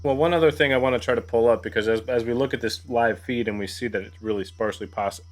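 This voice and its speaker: A man's voice: medium pitch, fast, faint music behind. A man talking casually as a player in a tabletop roleplaying game. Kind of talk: casual game-table talk, partly in character.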